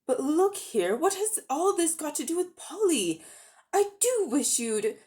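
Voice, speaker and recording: Woman playing earnest, high-pitched indignant schoolboy. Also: sing-song, unhurried, dark tone. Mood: impatient, indignant. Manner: questioning, indignant, plain-spoken.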